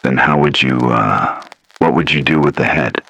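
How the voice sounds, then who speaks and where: Very deep-voiced male interviewer. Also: normal speed, vocal fry, a bit bright. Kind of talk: informal questioning.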